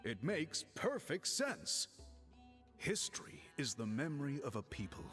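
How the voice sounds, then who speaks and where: Dignified game chief, formal, deliberate, expressive pitch swings, mid-range, music behind. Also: male voice. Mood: thoughtful.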